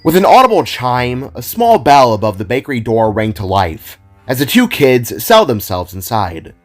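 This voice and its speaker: Animated male narrator over music. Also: medium pitch, normal speed. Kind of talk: scripted narration of an audio drama.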